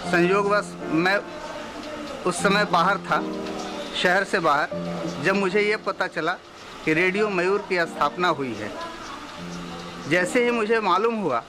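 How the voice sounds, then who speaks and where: A man's voice: high voice, slow pace, long pauses, poor audio. A man with a Bihari accent giving a tribute as a member of an arts group. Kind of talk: tribute testimonial. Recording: music behind the voice.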